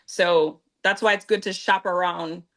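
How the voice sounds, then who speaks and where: A woman's voice: lowish voice, steady tone, unhurried, bright, forceful tone. A woman realtor on a webinar panel, explaining in an easy, conversational way over a video call.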